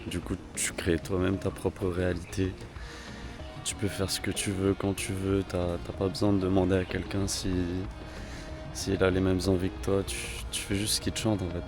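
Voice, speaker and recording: A young man from France talks to his camera in a loose, rambling way, full of slang and restarts. His voice sits low and stays fairly flat. Music plays clearly behind him. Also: moderate pace. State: slightly hoarse from a cold. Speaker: vlogger. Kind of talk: casual travel vlog talk.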